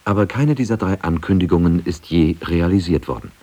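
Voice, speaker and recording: A man reading scripted radio feature narration in a measured, factual way. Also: low-pitched, expressive, mellow, husky. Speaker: documentary narrator.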